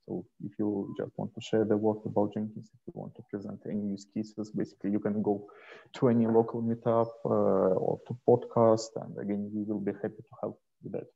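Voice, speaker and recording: A man presenting slides informally on a video call, speaking in a hesitant, halting way into a headset. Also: lowish voice, level tone, vocal fry, slightly rough. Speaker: host and presenter of an online community onboarding session. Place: small indoor room, video call. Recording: dull, muffled headset call audio.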